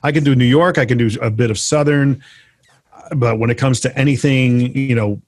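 A man's voice: medium pitch, fairly even intonation, normal speed, darkish tone, no room sound. A man who works in voiceover, telling a wry, self-deprecating story in a casual podcast chat. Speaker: voiceover artist, co-host.

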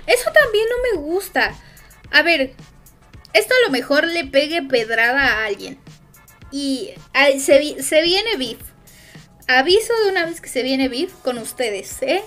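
A woman's voice: sits high, fairly bright tone. A woman with a Mexican accent chatting casually on a stream, rambling and hesitant with lots of fillers.

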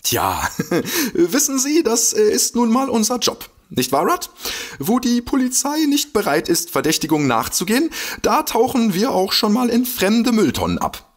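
A boastful detective in an audio drama, husky and animated, eagerly explaining everything. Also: male voice, sits high, normal speed, exaggerated voice. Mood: smug, bashful. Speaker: amateur detective. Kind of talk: German comedy mystery audio drama acting.

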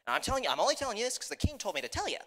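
A man voicing a cunning, fast-talking storybook cat, flattering and theatrical, with dramatic, persuasive patter. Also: very high-pitched, in a large hall, voice pushed up, exaggerated voice. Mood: urgent, conspiratorial.

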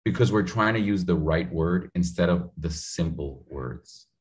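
Energetic man coaching on a video call. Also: low voice, measured pace. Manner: animated, gestures a lot, talks straight to the viewer. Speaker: public speaking coach. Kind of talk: energetic advice in an interview. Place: home room on a video call. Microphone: studio mic in frame on a video call.

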